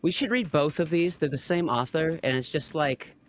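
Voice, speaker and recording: A man with a somewhat high-pitched voice talks casually as a co-host. He sounds informal, working through his thoughts out loud in relaxed group conversation. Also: normal speed.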